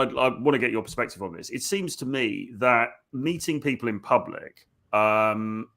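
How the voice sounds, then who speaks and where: A man hosting an interview, asking measured questions and answering often with "yeah". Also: on the low side, a bit bright. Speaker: host, dating coach. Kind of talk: podcast interview.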